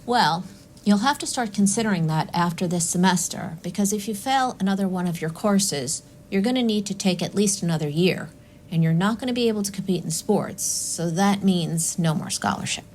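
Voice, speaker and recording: An actress playing a stern, unsympathetic academic adviser in an audio drama, firm and official in manner. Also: female voice, on the low side, moderate pace, darkish tone, a little hiss or hum, lightly acted. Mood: stern, admonishing.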